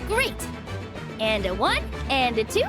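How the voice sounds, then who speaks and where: A woman's voice: on the high side, wildly swooping pitch, takes it slowly, music in the background, exaggerated voice. A woman playing an exuberant, showboating young warrior princess in a video game, bubbly and theatrical. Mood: eager.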